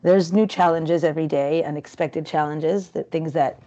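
A woman's voice: sits low, fairly even intonation, normal speed, warm tone, muffled recording. A woman from Peru in her thirties, talking casually as an interview guest, chatty and rambling with many fillers and restarts.

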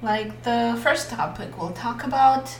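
A woman co-hosting a casual podcast chat, with a nasal voice, speaking softly and often giving short agreeing replies. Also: fairly high pitch, a little slow. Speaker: podcast co-host. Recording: roomy echo, faint noise.

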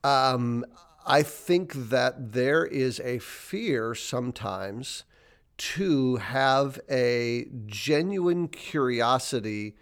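A man's voice: medium pitch, animated, drawn-out words, clear, resonant tone. A man speaking thoughtfully and hesitantly in a casual faith discussion, with many fillers. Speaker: podcast co-host.

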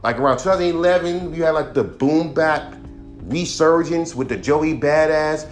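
A man in his thirties hosting his own podcast, a rapper ranting bluntly about music with heavy swearing and a voice he calls monotone. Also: a little high, normal speed. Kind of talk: profane casual rant.

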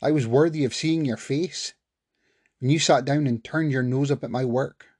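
A man doing a furious shouting man. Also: high-pitched, fast talker, gravelly, breathy edge, no room sound, studio quality, voice pushed up, heavily acted. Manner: bitter, rising into yelling. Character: furious volatile man, shouting at his partner.

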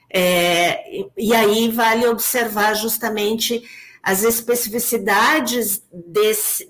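A Brazilian woman speaking as an academic commentator in an online debate, reflective and analytical, pausing often and checking in with short tag questions. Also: mid-range voice, a bit bright. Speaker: academic commentator on a research paper.

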